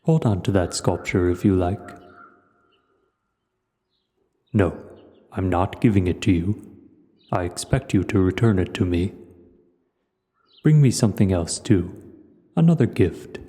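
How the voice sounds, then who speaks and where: A man voicing an ancient, wise and gentle dragon in an audio roleplay, speaking slowly and reflectively to the listener. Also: sits low, natural voice. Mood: calm, cautioning. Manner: slow, measured, reflective storytelling. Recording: cavernous long reverb.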